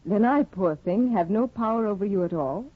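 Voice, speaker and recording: Actress as cool, manipulative wife, tinny old radio. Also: female voice, medium pitch, expressive, moderate pace, lightly acted. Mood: taunting, falsely sweet. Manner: poised, cool, theatrical. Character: discontented, proud, manipulative young married aristocratic woman. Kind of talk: 1950s radio drama acting. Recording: muffled, phone-like old radio broadcast, roomy.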